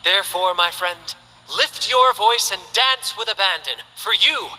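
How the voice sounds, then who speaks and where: A man's voice: high-pitched, measured pace, pushed and bright, big-room sound, heavily acted, poor audio. A carefree showman of the sea. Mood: showy. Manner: theatrical, playful, grand. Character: flamboyant young male sea performer, carefree showman. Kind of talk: game character voice lines.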